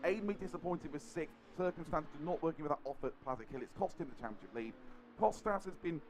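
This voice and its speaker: Hoarse, fast-talking race commentator. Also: male voice, slight background noise, poor audio. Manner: excitable, fast, rises in pitch at the finish. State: sore throat, losing voice. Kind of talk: sim racing commentary.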